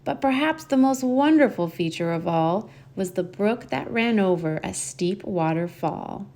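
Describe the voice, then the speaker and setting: A woman reading a rhyming children's picture book aloud in an expressive storytelling style, drawing out key words. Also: mid-range voice, unhurried. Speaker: storybook reader and host.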